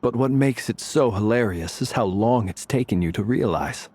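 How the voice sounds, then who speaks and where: A man's voice: on the low side, expressive, normal speed, a little mellow, a little hiss or hum, lightly acted. An actor voicing a laid-back, teasing man in a game, with a wry, amused manner. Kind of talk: game character voice acting.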